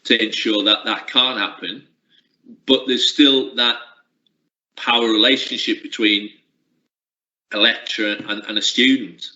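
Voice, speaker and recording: A man's voice: lowish voice, steady tone, unhurried, pauses often, pushed and bright. A middle-aged man, a sports coaching lecturer, answering interview questions in a reflective way with frequent restarts. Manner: reflective, uses many restarts and fillers. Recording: lo-fi roomy recording.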